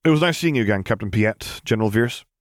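A man voicing a bright, friendly and confident young engineer in an audiobook story. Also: fairly low pitch, animated, fast, no room sound, studio quality, natural voice.

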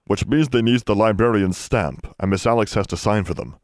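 A man's voice: on the low side, fairly quick, no room sound, clean studio sound, lightly acted. A man voicing a timid, servile library elf in an audiobook, speaking eagerly in broken servant grammar. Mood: hushed, conspiratorial. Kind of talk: audiobook character voice.

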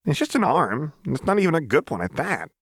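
A reckless young woman, acted by a male narrator, pitched well up and speaking in a loose, slangy way. She is flippant but tender underneath. It is a storybook character, recorded dry and close. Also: very animated, fast, fairly bright tone, slightly rough, no room sound, exaggerated voice.

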